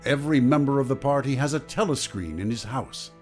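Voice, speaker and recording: An actor playing a grave, knowing voice in a radio drama, speaking deliberately and theatrically. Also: male voice, medium pitch, expressive, a little mellow, faint music behind, natural voice. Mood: grave, declaiming. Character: grave narrator and needling, knowing inner voice. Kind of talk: dramatic radio play narration and acting.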